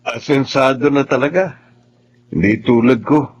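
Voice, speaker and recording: A down-on-his-luck Filipino man acted by a man in a radio drama. His voice is husky and medium in pitch, with the humble manner of an old friend needing help. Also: measured pace, muffled recording, close to an everyday voice. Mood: wistful.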